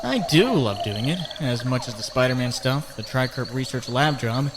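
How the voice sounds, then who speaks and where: A man's voice: medium pitch, moderate pace, close to an everyday voice. Noisy audio, guy doing a young wisecracking comic hero, sarcastic, really swoopy delivery. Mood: sarcastic, musing. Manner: sarcastic, animated, exclamatory. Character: young wisecracking male superhero photographer. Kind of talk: comic book dub, character monologue. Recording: clearly noisy background.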